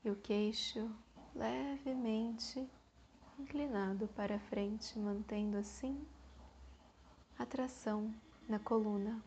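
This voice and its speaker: A Brazilian woman leads a guided meditation in a soft-edged, mellow voice that sits fairly high. She speaks very slowly and evenly, leaving long soothing pauses between short phrases. A faint background ambience runs behind her. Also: fairly even intonation, a little hiss or hum.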